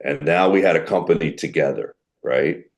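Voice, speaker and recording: A middle-aged man with a deep voice, a comedian telling animated stories in a podcast interview. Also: normal speed. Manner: animated storyteller who acts out quoted people. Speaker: guest comedian.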